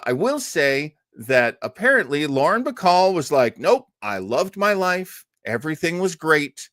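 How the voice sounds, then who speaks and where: Male host, mid-pitched, very lively delivery, casual and self-deprecating, digressive, dry recording. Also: no room sound. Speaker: show host. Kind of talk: casual live-stream chat.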